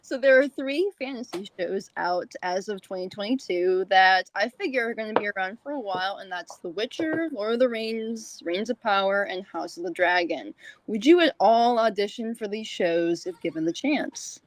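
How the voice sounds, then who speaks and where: A woman's voice: mid-range voice, expressive, moderate pace, rough recording. A woman hosting a casual, conversational interview in a chatty manner. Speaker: interview show host.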